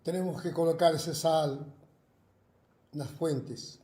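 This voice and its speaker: A man's voice: a little high, steady tone, slow pace, mellow, echoey room. A man from Argentina, a pastor, preaching and praying earnestly into a self-recorded video, repeating his phrases fervently. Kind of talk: recorded sermon and prayer.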